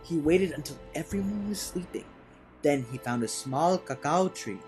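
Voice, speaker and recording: A man tells a storybook tale in a theatrical way, with a fairly high voice that swings widely in pitch. He moves between hushed lines and big loud ones. Orchestral music plays behind him. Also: animated, moderate pace. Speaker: read-aloud storyteller. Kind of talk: dramatic storybook narration.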